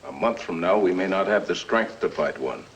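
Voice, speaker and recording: A man's voice: low voice, animated, normal speed. A man playing an urgent, hawkish presidential adviser in a 1950s film, earnest in his delivery. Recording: dull old 1950s film soundtrack.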